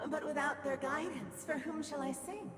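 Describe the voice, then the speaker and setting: A woman's voice: high voice, a bit bright, a little hiss or hum. A woman voicing a forlorn puppet singer devoted to a master, dramatic and theatrical, in a video game. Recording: echoey reverberant hall, music behind.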